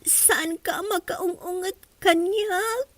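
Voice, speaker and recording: A woman's voice: very high-pitched, slow, over music. A woman playing a hurt, meek young child in a radio drama, plaintive and tearful, with an Ilocano accent, recorded at a distance. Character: hurt young child who ran away, meek and aggrieved. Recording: distant from the microphone.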